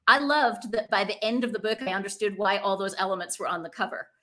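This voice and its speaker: A woman's voice: medium pitch, quick pace, fairly bright tone. A woman hosting a podcast over a video call in an enthusiastic, conversational manner. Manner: effusive, enthusiastic. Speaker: podcast host. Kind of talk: conversational interviewing. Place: indoor room, video call.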